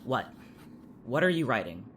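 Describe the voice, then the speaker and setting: A man playing a jaded, defensive high school student in an audio drama, speaking in a blunt, sarcastic, irritable way. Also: sing-song, normal speed, fairly bright tone, a little hiss or hum. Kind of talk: audio fiction dialogue.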